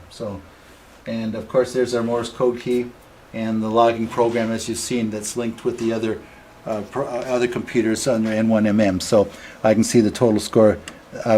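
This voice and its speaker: A man informally demonstrating equipment as a club radio operator, explaining in a halting way with restarts. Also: on the low side, little pitch movement, a little mellow, slight background noise.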